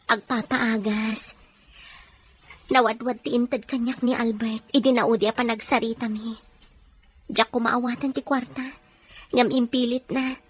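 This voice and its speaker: A woman's voice: on the high side, little pitch movement, unhurried, pauses often, slightly rough. A woman acting as a wistful, homesick mother working overseas in a drama. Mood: regretful. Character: regretful, homesick young mother working abroad. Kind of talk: Ilocano radio-style drama acting.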